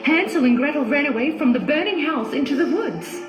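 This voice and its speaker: A woman narrating a scripted fairy tale for children in an expressive, dramatic storytelling manner. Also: sits high, a little fast. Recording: echoey, music under the voice.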